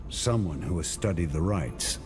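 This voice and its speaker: Warrior hero: lowish, dynamic pitch, terse, grave, determined, fantasy game. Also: male voice, expressive, moderate pace, music in the background. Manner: terse, solemn. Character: stern, resolute male warrior knight hero.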